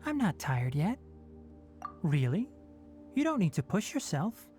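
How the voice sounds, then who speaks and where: A man playing a calm, principled young forest ranger scholar in a video game, speaking in a measured, articulate, earnest way. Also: high voice. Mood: gently concerned. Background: background music.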